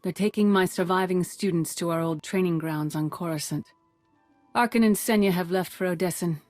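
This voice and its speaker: Woman as a low-pitched, solemn older Jedi master. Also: a little mellow, no echo, soft background music, lightly acted. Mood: reflective. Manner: measured, formal. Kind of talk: video game cutscene acting.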